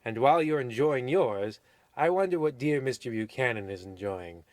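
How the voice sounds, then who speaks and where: A man's voice: mid-range voice, animated, normal speed, darkish tone, dry recording, natural voice. A man playing an arch, mock-ominous host narrating a parody old-time radio mystery in formal tones. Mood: wry, ominous. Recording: noisy recording with clicks.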